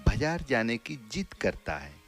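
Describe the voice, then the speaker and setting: Slow, animated male children's story narrator. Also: medium pitch, faint music behind.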